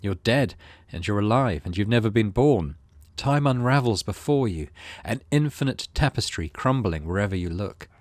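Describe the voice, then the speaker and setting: Animated, slightly low-voiced man narrating a game. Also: moderate pace, few pauses, no room sound. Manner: expressive storyteller reading with asides. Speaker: gaming channel host. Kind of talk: reading game narration aloud with casual commentary.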